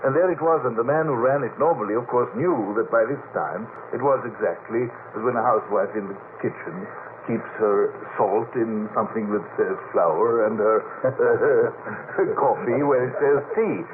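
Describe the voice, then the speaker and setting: A man's voice: mid-range voice, normal speed. Man on a noisy, tinny old radio broadcast. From Sweden. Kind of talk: anecdotal radio panel talk.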